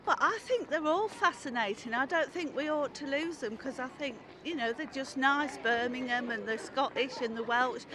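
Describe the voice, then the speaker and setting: A woman with a high voice gives a casual interview answer outdoors, with clear traffic and street noise around her. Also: moderate pace, few pauses.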